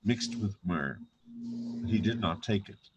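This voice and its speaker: A man narrating a dramatic scripture reading with solemn, measured, deliberate phrasing. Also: fairly low pitch, expressive, dull audio, noisy background.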